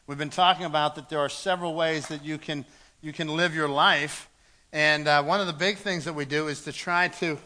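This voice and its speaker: Man, pastor, sermon, earnest, bright voice, slightly high. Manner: earnest, repeats words as he speaks, reads scripture aloud. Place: church.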